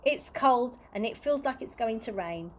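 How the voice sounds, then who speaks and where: A woman voicing a kindly, wistful old male tramp from a children's storybook, earnest and gently encouraging. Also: a little high, animated, moderate pace. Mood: wistful, tender.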